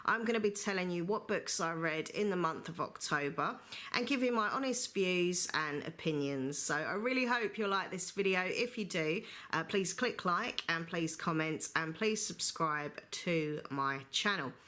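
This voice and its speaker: A woman's voice: fairly low pitch, lively intonation, normal speed, bright, forceful tone. A woman chatting through a book review vlog, rambling with lots of fillers and getting over the flu with a slight cough. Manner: chatty, rambling, many fillers like "you know". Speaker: book vlogger. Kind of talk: casual book review monologue.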